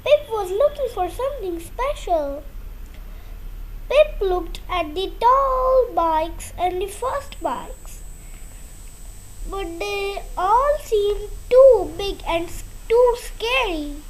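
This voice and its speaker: A young girl reads a children's story aloud. Her voice is extremely high and very animated in its melody, and she takes the words slowly and carefully. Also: poor audio.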